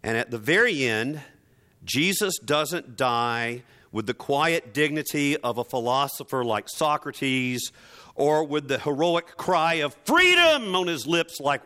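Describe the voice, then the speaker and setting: A man's voice: medium pitch, pushed and bright, smooth. A man preaching a dramatic sermon with emphatic, theatrical, rhetorical delivery and sardonic asides. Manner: emphatic, theatrical delivery with deliberate repetition for effect.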